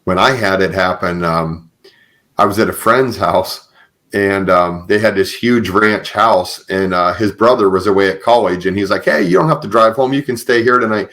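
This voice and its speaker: A man's voice: low voice, moderate pace, a bit bright, poor audio. A man hosting a podcast, chatting casually and informally as an interviewer. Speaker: podcast host.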